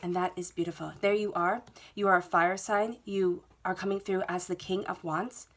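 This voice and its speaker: A woman's voice: on the low side, steady tone, moderate pace. A woman with a head cold giving a tarot reading at a table, talking in a soothing, reassuring way and often checking in with "okay?". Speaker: tarot reader. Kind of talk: monthly tarot reading to camera. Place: indoors at a table, reading laid-out cards.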